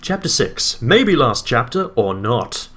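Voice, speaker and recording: A man's voice: medium pitch, big pitch swings, slow, fairly bright tone. A man hosting a comic, mock-dramatic read-aloud of fan fiction, given to giggly asides.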